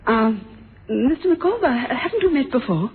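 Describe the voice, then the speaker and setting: On a narrow, faintly hissy radio track, a young socialite. Her lively intonation stays courteous and self-assured, with a quiet edge. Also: high-pitched, moderate pace. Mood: polite. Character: poised, polite young socialite woman, quietly pointed. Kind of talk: 1970s radio drama acting. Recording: tinny, noisy old radio recording.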